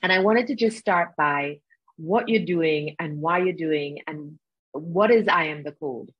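A woman's voice: sits low, measured pace, breathy edge, no echo. A woman hosting a leadership podcast, opening with a polished, scripted introduction. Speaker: podcast host. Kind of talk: podcast interview. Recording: dry, dull lo-fi audio.

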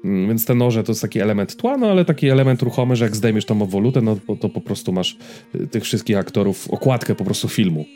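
A Polish man co-hosts a pop-culture podcast in a fairly low, soft-edged and mellow voice. He chats informally, explaining things to his partner and the listeners. Faint music plays underneath. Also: fast. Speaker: podcast co-host.

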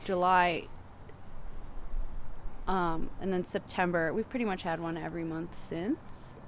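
A young woman born in Tennessee and raised in Virginia, a band member chatting in a relaxed way with lots of fillers. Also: fairly low pitch, measured pace, a little hiss or hum. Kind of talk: casual chat.

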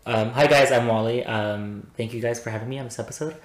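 A man's voice: medium pitch, fairly even intonation, fast. Chatty young man in an echoey room. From born in Afghanistan, raised in Pakistan and Canada. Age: 20s. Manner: chatty, laughs often, many "um"s. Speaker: podcast guest. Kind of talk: casual chat.

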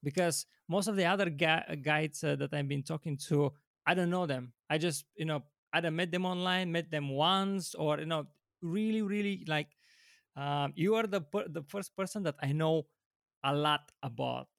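Gravelly, halting male host, dry sound. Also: on the high side, a bit bright, no echo. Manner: halting, restarts often, eager. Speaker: YouTube channel host. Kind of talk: casual interview.

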